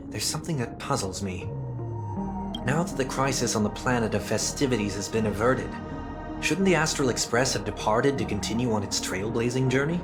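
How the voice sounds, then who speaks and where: An actor playing a polite, curious wanderer who speaks formally. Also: male voice, mid-range voice, natural voice. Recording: game music behind.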